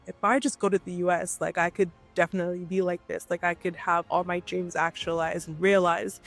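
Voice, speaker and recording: A woman from Nigeria, a guest entrepreneur, talking animatedly and emphatically in a casual interview, often checking in with "right?". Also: on the low side.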